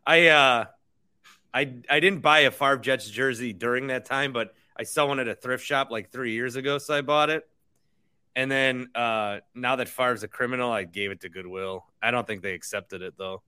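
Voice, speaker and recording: A man's voice: mid-range voice, normal speed, bright, forceful tone, smooth. A man co-hosting a casual sports podcast with a dry, joking way of talking. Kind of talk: casual sports chat with sponsor reads.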